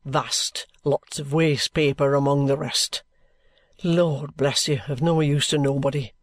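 A reader voicing a sly, genial middle-aged male detective with a cheerful, coaxing, unhurried manner. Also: medium pitch, fairly even intonation, dry recording, pitched below the performer's own voice, close to an everyday voice. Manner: cheerful, coaxing, leisurely drawl. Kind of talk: audiobook character reading.

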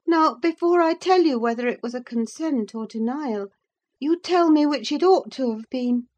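Woman voicing a high-pitched, petulant young lady. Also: moderate pace, a little mellow. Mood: urgent, confiding. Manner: impulsive, insistent, exclamatory. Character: headstrong young upper-class woman, petulant and anxious. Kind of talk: dramatised audiobook reading of a classic novel.

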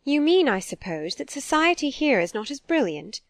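A woman's voice: sits high, very animated, normal speed, no echo, pitched above the performer's own voice. A woman reading a storybook as a proper, disapproving older society mother, genteel and insistent. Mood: indignant, disapproving.

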